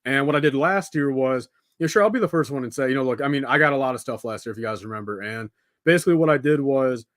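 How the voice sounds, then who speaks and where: A man's voice: mid-range voice, quick pace, a bit bright, no room sound, studio quality. Casual, rambling young man livestreaming. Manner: rambling, informal, peppered with dude and man. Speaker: live streamer hosting a building stream. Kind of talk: casual unscripted livestream chat with viewers.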